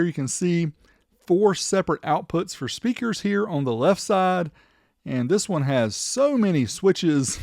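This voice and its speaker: A man's voice: high voice, darkish tone, no echo, clean studio sound. A man narrating a product showcase as the host, in a chatty, informal way. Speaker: host of a vintage car audio video channel.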